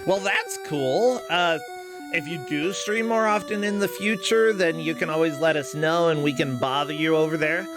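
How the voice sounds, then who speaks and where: A man's voice: fairly high pitch, normal speed, over music. A man hosting a game tournament stream, chatting casually with a player and laughing often.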